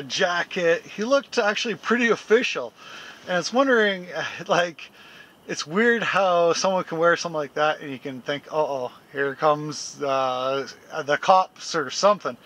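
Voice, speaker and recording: A man's voice: on the high side, a bit bright. A man in his forties chatting to his camera while camping, first outdoors in the snow and then inside a small tent at night, chuckling often and rambling with plenty of fillers. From Canada. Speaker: camping vlogger. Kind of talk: casual vlog chat to camera. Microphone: handheld camera close up, then fixed camera a few feet away.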